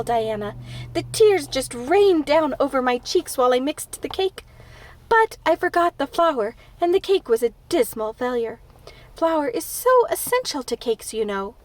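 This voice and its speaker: Woman doing a gushing dramatic young girl. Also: high voice. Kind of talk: audiobook character voice.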